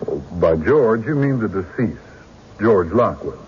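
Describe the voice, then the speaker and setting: A shrewd, courteous country lawyer in a radio drama, probing with folksy hesitations. His voice is low and very animated, and the recording is lo-fi. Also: measured pace. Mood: shrewd, wry. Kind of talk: old-time radio drama acting. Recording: dull radio recording, faint noise.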